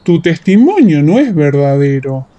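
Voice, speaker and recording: A woman's voice: deep voice, very animated, a little slow, dark tone, muffled recording, a little hiss or hum, pitched below the performer's own voice. A woman voicing skeptical, confrontational Pharisee men in an audiobook radio play. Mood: challenging, skeptical.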